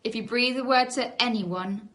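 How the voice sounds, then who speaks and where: A woman's voice: fairly high pitch, moderate pace, fairly bright tone, lightly acted. A woman voicing a blustering, sneering boy bully in a storybook reading. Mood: threatening. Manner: threatening, sneering.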